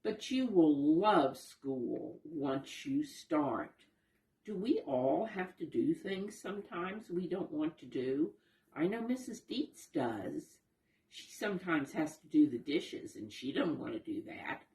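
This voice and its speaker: A woman's voice: low voice, big pitch swings, measured pace, a little mellow, roomy sound, poor audio. A woman storyteller talking to young children, patiently explaining in simple words and asking them questions. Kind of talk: children's read-aloud lesson, addressing young listeners.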